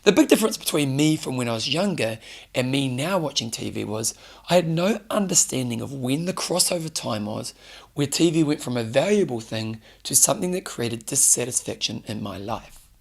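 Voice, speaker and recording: A man giving an earnest solo coaching talk, hoarse from losing his voice. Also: medium pitch, expressive, moderate pace. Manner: earnest, coaching, direct questions to listener. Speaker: fitness show host. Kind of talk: solo motivational talk to camera.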